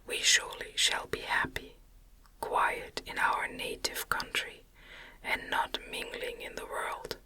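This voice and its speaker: A woman voicing a tender, anguished young gentlewoman in a gothic audiobook, pleading gently in a breathy whisper. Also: takes it slowly, lightly acted.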